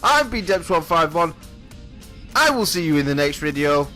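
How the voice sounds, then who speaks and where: A man with a hoarse, croaky voice commentating a replay of his own sim race, chatty and often apologising and correcting himself. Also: on the high side, big pitch swings, normal speed, pushed and bright, faint music behind. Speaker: sim racing commentator and driver.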